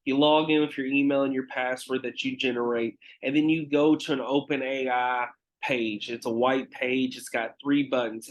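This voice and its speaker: A man's voice: mid-range voice, low-quality recording. A man hosting his own podcast alone, talking casually with many fillers and some swearing, sounding nasal because he is getting over a cold. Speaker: podcast host. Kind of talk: casual solo podcast talk.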